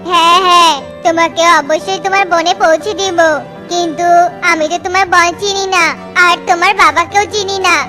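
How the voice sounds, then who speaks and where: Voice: very high-pitched, fairly even intonation, fairly quick. A performer voicing an anxious, wheedling grown-up forest bird in a children's cartoon. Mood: coaxing. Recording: children's music playing behind voice.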